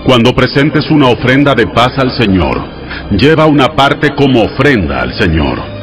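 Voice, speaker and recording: An actor voicing God as a solemn, commanding lawgiver, speaking in a measured, authoritative way in a dramatized audio Bible. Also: male voice, medium pitch, very animated, over music, natural voice.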